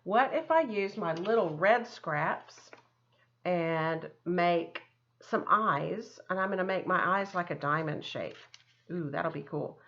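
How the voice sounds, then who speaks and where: A woman with a slightly low voice gives a casual art lesson for children. She is chatty and encouraging, explaining each step as she works. The recording sounds a bit dull and muffled. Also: slow, a bit bright. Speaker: art teacher giving a demonstration.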